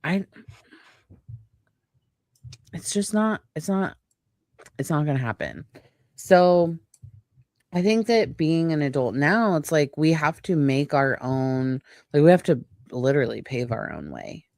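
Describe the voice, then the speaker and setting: A woman's voice: sits low, animated, a little slow, long pauses, a little mellow, no room sound, clean studio sound. A woman in her late thirties chatting casually as a podcast co-host, rambling and acting out imagined quotes. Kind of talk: casual personal chat.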